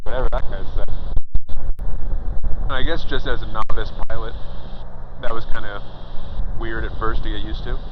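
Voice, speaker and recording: Rambling pilot chatting in a cockpit. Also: male voice, on the low side, fairly even intonation, normal speed, pauses often. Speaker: private pilot vlogger. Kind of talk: casual cockpit vlog commentary. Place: small plane cockpit in flight. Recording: muffled headset audio with engine noise. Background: engine drone.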